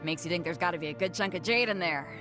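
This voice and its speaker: A woman's voice: fairly low pitch, quick pace, music in the background, lightly acted. A woman voicing a brash, easygoing female sea captain in a video game, with a blunt, casual, teasing manner. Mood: irked, blunt.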